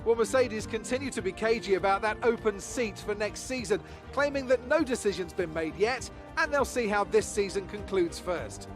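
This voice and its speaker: A man's voice: high voice, animated, normal speed, hardly any pauses, faint music behind. A man as a polished, upbeat TV race commentator in a video game, presenting in broadcast style. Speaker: lead motorsport commentator.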